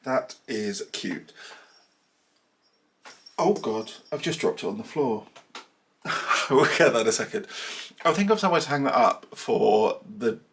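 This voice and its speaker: A man's voice: medium pitch, lively intonation, a little slow, pauses often, slight background noise. A man from southern England with a southern English accent, chatting casually to camera in a vlog at home, rambling with self-deprecating asides and sounding a little nasal and bunged up. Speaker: knitting vlogger. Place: small cluttered room at home, indoors. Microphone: camera mic.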